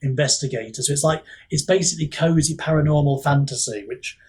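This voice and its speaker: A man's voice: fairly high pitch, fairly quick, a little mellow, husky. A man chatting casually as an author and podcast guest, thinking aloud in a hesitant way. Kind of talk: casual chat.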